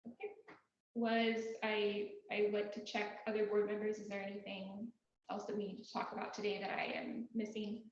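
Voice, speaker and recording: A soft-spoken woman opening a club meeting as its president, in a relaxed, friendly way. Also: medium pitch, normal speed, a little hiss or hum. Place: meeting room, in person. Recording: very echoey room, dull sound.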